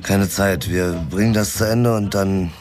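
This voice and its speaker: An actor playing a weary, dogged investigator who talks in a dry, blunt, laconic way in a German radio drama. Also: male voice, deep voice, steady tone, a little slow, a little mellow. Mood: weary, determined. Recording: music behind the voice. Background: music.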